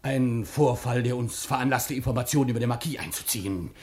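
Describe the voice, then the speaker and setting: An actor playing a wry, nervous professor in a German radio play, speaking dryly and stammering with "äh" when flustered. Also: male voice, mid-range voice, a little fast, a little mellow, close to an everyday voice. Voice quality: gravelly. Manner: dry and cautious, flustered and stammering under pressure. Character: nervous, wry older male professor conspirator. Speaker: professor and undercover policeman.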